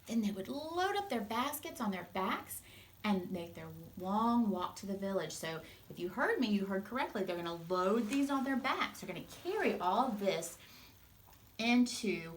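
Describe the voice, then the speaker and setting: Animated woman teacher reading to children. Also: mid-range voice, normal speed, a little hiss or hum. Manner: expressive, instructive, simple explanations for children. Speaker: teacher reading a storybook aloud. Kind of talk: children's storytime reading with explanations.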